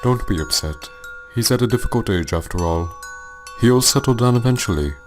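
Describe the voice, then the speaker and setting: A man playing a calm, reassuring fatherly monster king in an amateur comic dub, measured and soothing. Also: on the low side, soft background music, close to an everyday voice.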